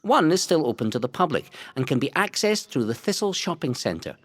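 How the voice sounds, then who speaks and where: A man reading scripted historical narration in a measured, dramatic storytelling way. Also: mid-range voice, no echo, clean studio sound. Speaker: narrator of a local history audio guide. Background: music.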